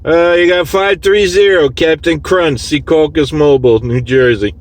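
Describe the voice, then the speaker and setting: A slightly high-voiced man makes casual CB radio calls from a vehicle. He speaks in clipped operator lingo, with clear radio and vehicle noise around him. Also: normal speed. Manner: clipped radio lingo, repeats call sign. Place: inside a vehicle, mobile unit. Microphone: handheld phone recording in the vehicle. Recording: noisy phone recording of CB radio session.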